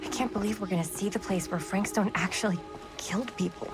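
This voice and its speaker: A woman's voice: medium pitch, quick pace, loud music behind the voice, natural voice. An actress playing an eager, decisive, thrill-seeking young American woman in a video game cutscene. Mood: excited, awed. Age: young adult.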